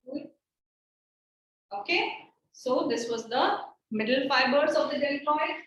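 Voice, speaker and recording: High-voiced woman teaching in an echoey room. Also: animated, slow pace, fairly bright tone, dull audio. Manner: explains step by step, checking with frequent "okay" and "right". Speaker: medical teacher. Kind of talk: live clinical demonstration. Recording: very echoey room, voice from far off the mic.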